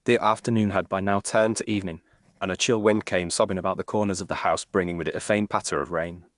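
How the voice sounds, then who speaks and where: A man with a fairly low voice narrates an audiobook mystery. His delivery is measured and formal, literary in style, with a very dry studio-like sound. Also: steady tone, no echo. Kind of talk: scripted literary narration in first person.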